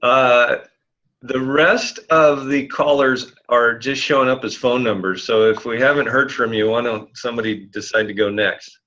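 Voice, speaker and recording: A man's voice: medium pitch, fairly even intonation, moderate pace, pushed and bright. A man hosting a group conference call in a casual, informal meeting style. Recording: dull lo-fi conference call audio.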